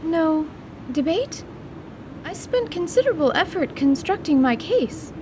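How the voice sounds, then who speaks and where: A woman acts out an earnest young lady surgeon in a fantasy radio play. Her voice is pitched very high, with formal, eager, precise phrasing. A clear rumble of ambience surrounds her. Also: sing-song, unhurried, heavily acted. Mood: surprised.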